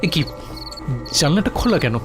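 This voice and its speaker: A man's voice: on the high side, very animated, moderate pace, over music. A man playing a brave but frightened young village boy in a cartoon horror story, muttering anxiously to himself in a dramatic way. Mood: uneasy, irritated.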